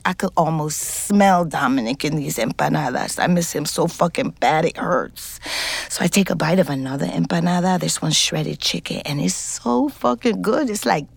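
A woman's voice: fairly low pitch, animated, vocal fry, no echo. A tired streetwise ex-con lady telling her story in a podcast drama, frank, sweary, kinda wistful. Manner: conversational, halting, profane slang. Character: streetwise, weary ex-convict woman, frank and wistful. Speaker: lead actor and narrator in scripted fiction podcast. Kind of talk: intimate first-person audio drama narration.

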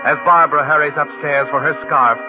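A man's voice: a little high, fairly even intonation, brisk, natural voice. Taunting male radio narrator, music behind, acted. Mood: ominous, taunting. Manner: insinuating, dramatic. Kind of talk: 1940s radio drama narration in second person. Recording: tinny old radio with loud music.

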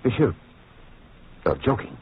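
A man's voice: a little slow, lightly acted. A man playing an earnest, honourable French nobleman wronged and jailed, courteous, in old radio drama style. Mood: indignant, courteous. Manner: courteous, conscientious. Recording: muffled, tinny old radio recording.

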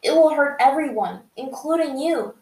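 An earnest young boy monk pleads urgently in a raised, higher voice, as a woman reading a story puts him on. He sounds young and insistent. Echo hangs around the voice. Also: lively intonation, normal speed, a little mellow, far from the mic. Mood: pleading.

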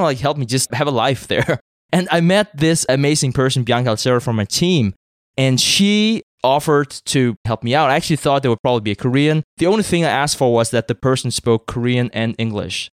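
A man's voice: medium pitch, no room sound. Danish man, chatty, dry close sound. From Denmark. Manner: reflective, rambling with frequent "like" and "sort of". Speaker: podcast co-founder and guest. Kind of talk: casual interview chat.

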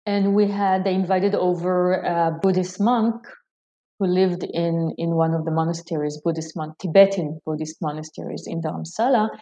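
Israeli woman, thoughtful and halting. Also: fairly low pitch, fairly even intonation, warm tone, lo-fi sound. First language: Hebrew. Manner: thoughtful, hesitant with frequent ums and restarts. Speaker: scholar guest. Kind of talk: interview answers.

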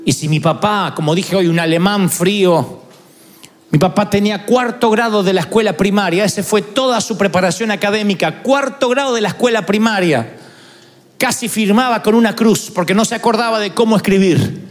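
A man in his fifties preaching a fervent sermon as pastor, building up to shouts. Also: high-pitched, normal speed. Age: 50s. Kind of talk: impassioned sermon.

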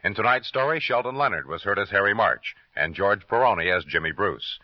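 A man's voice: fairly low pitch, expressive, fairly quick, no room sound. An American man announcing in a formal, punchy, scripted 1950s network radio style. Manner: formal, punchy promotional delivery. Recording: dull old radio recording over loud music. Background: loud music.

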